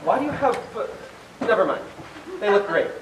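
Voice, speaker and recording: A man's voice: high voice, very animated, moderate pace. A man playing a teasing young debate rival in an amateur stage play. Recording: echoey hall, distant mic, loud noise.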